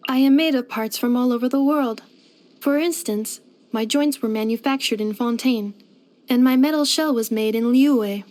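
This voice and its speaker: Actress as female robot helper for a game: high voice, formal, precise, literal-minded, unfailingly polite. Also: darkish tone, close to an everyday voice. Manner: formal, precise, clipped system-report phrasing. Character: polite, helpful female robot maid companion, earnest and literal. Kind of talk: scripted video game character voice lines.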